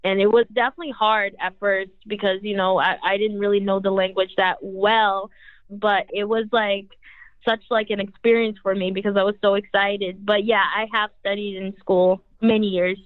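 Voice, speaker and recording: A young woman whose first language is Portuguese talks through her interview answers, looping and filling every pause with "like". Her voice sits in a middle pitch. The sound is dry and narrow, as if over a phone line. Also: flat delivery, no echo. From Brazil. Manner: rambling, heavy use of "like". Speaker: singer, guest. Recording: tinny phone-like audio.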